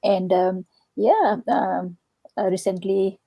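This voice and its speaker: A woman's voice: medium pitch, steady tone, takes it slowly, gravelly, no room sound, dull audio. A woman from Fiji in her thirties sharing her story as a guest on a live stream, hesitant and full of fillers. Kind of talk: casual live-stream chat.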